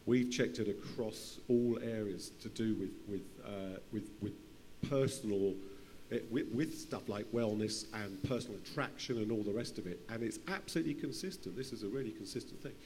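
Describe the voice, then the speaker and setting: A man's voice: lowish voice, darkish tone. Guy on a conference panel, keeps restarting his sentences, echoey hall, bit of noise. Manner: halting, repeats and restarts phrases. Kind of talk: unscripted panel discussion. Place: conference session in a large room. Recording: hall reverb with faint hum and noise.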